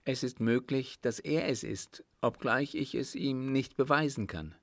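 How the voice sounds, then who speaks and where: A man voicing a suspicious younger desert man in an audiobook, with a deliberate, insistent and formal manner. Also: medium pitch, vocal fry, a bit bright, dry recording, voice pushed up. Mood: calculating. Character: earnest, suspicious younger desert man advising his elder.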